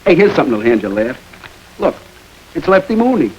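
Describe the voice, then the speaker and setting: An actor playing a joking, streetwise gangster in an old crime film. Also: male voice, on the high side, big pitch swings, fairly quick, a little mellow, poor audio. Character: wisecracking gangster, middle-aged man. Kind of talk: 1930s film acting. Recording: old film soundtrack with faint noise.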